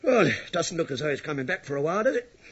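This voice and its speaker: Give the impression of a nosy police inspector in a radio drama. His voice is on the high side and swings widely, with droll feigned politeness and sly understatement. The recording is slightly dull. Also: big pitch swings, fast talker, breathy edge. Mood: dry, wry. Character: wry, nosy, mock-humble male police inspector. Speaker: actor in a radio play.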